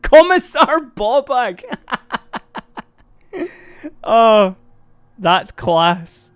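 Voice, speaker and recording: A live-streaming miniature painter chatting casually and giggling a lot while painting, a little hoarse from laughing. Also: mid-range voice, big pitch swings, extremely slow. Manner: chatty, giggly, rambling, addresses chat.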